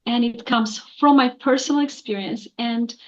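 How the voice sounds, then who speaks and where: A woman's voice: fairly high pitch, steady tone, normal speed, muffled recording. A woman, an accountant answering earnestly in an interview with many fillers. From Albania. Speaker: guest, accountant.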